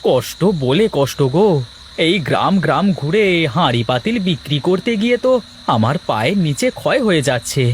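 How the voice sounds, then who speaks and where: A man acts out a long-suffering village potter in a folk-tale cartoon. The voice is high for a man and very lively, with a grumbling edge. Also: fairly quick, slight background noise. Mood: exasperated.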